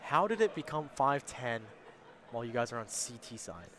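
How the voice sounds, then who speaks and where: A man's voice: mid-range voice, very animated, normal speed, fairly bright tone. Man interviewing at a gaming event. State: losing his voice. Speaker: esports interviewer. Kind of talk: casual on-site event interview. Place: large indoor gaming event venue.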